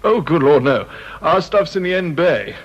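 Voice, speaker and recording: An actor playing a genial, embarrassed British department official in a radio drama, blustery, hesitant and placating. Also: male voice, on the high side, sing-song, normal speed. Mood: reassuring. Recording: dull, noisy old radio recording.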